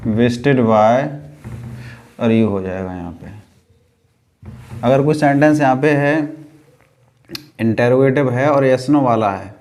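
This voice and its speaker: Male Indian teacher, very unhurried delivery, mid-pitched, explaining grammar didactically with repeated phrases and rhetorical questions. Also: darkish tone. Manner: didactic, repeats phrases and asks himself questions then answers. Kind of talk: classroom grammar lesson, explaining step by step.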